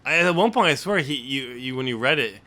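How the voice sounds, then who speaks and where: Male podcast host and writer, bright forward voice, mid pitch, relaxed conversational discussion. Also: brisk. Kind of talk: casual discussion.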